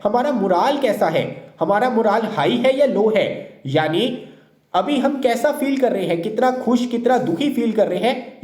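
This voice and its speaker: A man explains word pairs in a steady teaching lecture, with a mellow, warm tone. He keeps posing a question and answering it at once. The sound bounces around a roomy space. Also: sits high. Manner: repeats questions then answers them. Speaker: English teacher for exam prep. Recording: roomy echo.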